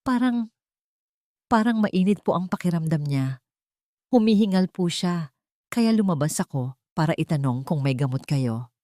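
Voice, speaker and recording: A woman's voice: fairly low pitch, lively intonation, normal speed, long pauses, mellow, no room sound, clean studio sound, natural voice. A woman voicing a frightened, meek young mother in an audio drama, stammering and deferential. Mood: fearful, meek.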